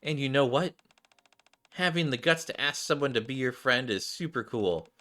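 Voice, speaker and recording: A man's voice: mid-range voice, expressive, moderate pace, sharp tone. A man voicing a confident, encouraging woman mentor from a game, reassuring and direct.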